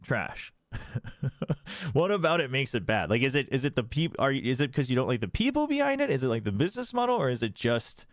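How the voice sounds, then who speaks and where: A man's voice: mid-range voice, big pitch swings, a little fast, no room sound, clean studio sound. A man streaming a watch party, chatting casually with his viewers and laughing often. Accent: slight non-native accent in Korean. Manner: laughs often, chatty with chat, switches into Korean. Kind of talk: casual livestream chat.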